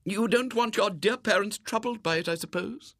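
A man's voice: high-pitched, moderate pace, dry recording, clean studio sound, pitched above the performer's own voice. A man voicing a severe, prim and gullible woman in a storybook, with a proper manner. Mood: gracious, gentle. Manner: grim and sharp, softening to gracious.

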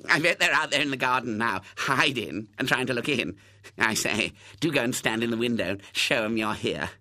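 A man's voice: mid-range voice, animated, brisk, sharp tone, no echo. A man voicing a smug, spiteful young boy in a storybook, gloating and taunting.

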